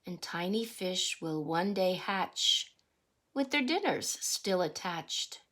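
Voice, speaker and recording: A woman reading a rhyming picture book aloud as a teacher would, deliberately, with explanatory asides and questions for young listeners. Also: fairly low pitch, expressive, a bit bright.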